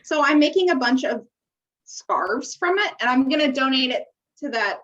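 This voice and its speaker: Young American female podcast co-host, higher pitch, informal and encouraging, lo-fi distant sound. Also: animated, normal speed, fairly bright tone. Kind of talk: casual chat. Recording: muffled, distant-sounding microphone.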